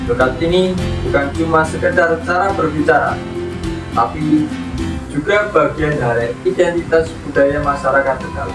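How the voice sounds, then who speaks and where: A male podcast host reads a clear, informative script in a welcoming tone, his voice pitched a little high. He sounds set back from the mic in an echoey room, with guitar music running underneath. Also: normal speed. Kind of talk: scripted narration. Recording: echoey, distant with music bed.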